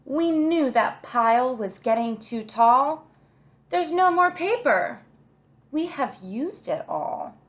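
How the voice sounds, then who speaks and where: Woman reader as little scribble creatures, plaintive, childlike, picture-book style, raised pitch. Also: animated, slow. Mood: upset. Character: excitable crew of little paint splatters and scribbles.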